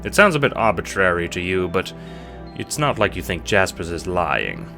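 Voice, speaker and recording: A man's voice: deep voice, sing-song, normal speed, gravelly, music in the background. A man narrating an audio drama as scripted second-person storytelling.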